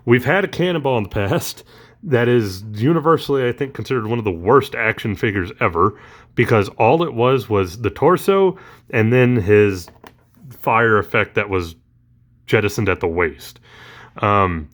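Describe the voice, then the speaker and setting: A man's voice: on the low side, animated, moderate pace, a bit bright. A man talking casually through a toy unboxing, rambling with lots of ums and uhs, his voice recently back after allergies.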